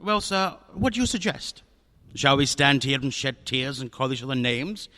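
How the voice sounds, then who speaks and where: An actor in a radio drama playing a pompous, jovial, cunning older crime boss who talks in a courtly, florid, theatrical way. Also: male voice, medium pitch, normal speed, bright, forceful tone, close to an everyday voice. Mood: resigned, philosophical.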